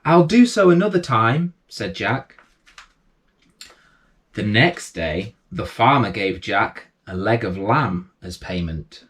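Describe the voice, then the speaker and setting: Slow-paced man reading bedtime stories, very lively pitch. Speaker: bedtime story reader and host. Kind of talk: storytelling read aloud for children.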